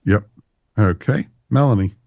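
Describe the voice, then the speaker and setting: Deep-voiced man hosting casual chat. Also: a little slow, darkish tone, no room sound, studio quality. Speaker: podcast host moderating panel.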